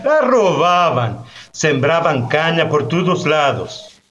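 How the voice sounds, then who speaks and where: A weary old peasant broods and rambles in rustic radio theatre. He speaks slowly, his pitch rising and falling widely, over loud background noise. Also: sing-song, dull audio. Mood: mournful. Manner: rustic, rambling, brooding, with slow drawn-out phrases. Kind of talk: Spanish-language radio theatre monologue. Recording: noisy recording with loud ambient background.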